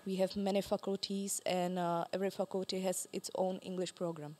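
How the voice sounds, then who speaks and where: A woman in her twenties, a student ambassador, speaking quickly and eagerly on a panel. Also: fairly low pitch, level tone, a little mellow. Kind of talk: panel talk.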